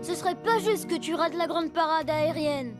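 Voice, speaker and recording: A woman voicing an eager young elephant prince in a cartoon, earnest and quick to protest unfairness. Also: very high voice. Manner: earnest, indignant about fairness. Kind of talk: cartoon voice acting. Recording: cartoon soundtrack with music behind.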